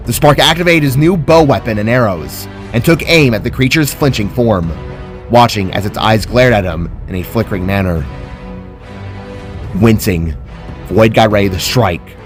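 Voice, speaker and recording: Man dramatically narrating, music behind. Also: lowish voice. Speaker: narrator of own fantasy story.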